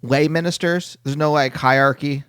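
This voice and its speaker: A man's voice: fairly high pitch, fairly even intonation, normal speed, fairly bright tone, clear, resonant tone, no room sound, clean studio sound. A male podcast host asking probing questions, rephrasing them as he goes. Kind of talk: casual interview questions.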